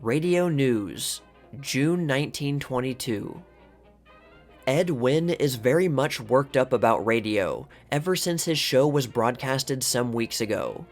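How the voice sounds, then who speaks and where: A man's voice: mid-range voice, big pitch swings, takes it slowly. A man giving a scripted narration, reading aloud from old magazine articles. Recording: music playing behind the voice. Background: background music.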